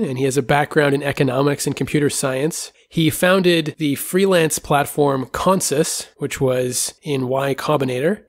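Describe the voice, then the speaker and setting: A man's voice: mid-range voice, no echo. A man hosting a podcast, introducing guests and asking friendly questions. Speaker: podcast host. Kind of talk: casual chat.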